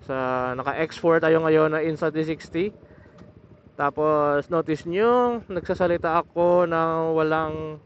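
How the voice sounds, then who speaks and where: A young man vlogging while riding a motorcycle, explaining his setup in a casual, halting way with many restarts. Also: fairly high pitch, a little hiss or hum. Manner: hesitant, restarts words, explains step by step. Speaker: motovlogger. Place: on a motorcycle outdoors. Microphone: motorcycle helmet intercom over Bluetooth. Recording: muffled helmet intercom audio.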